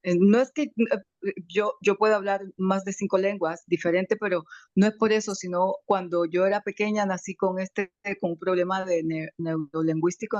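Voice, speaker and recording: A woman with a slight foreign accent in her Spanish, giving an emotional, grateful personal testimony on a video call, halting with many restarts. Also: on the low side, darkish tone, no echo. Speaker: webinar participant. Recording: dry, muffled video call audio.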